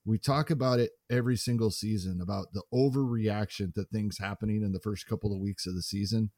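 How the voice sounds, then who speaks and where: Mellow-voiced man co-hosting a podcast. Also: medium pitch, dry recording, studio quality. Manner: measured and analytical. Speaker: podcast co-host. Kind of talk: casual podcast chat.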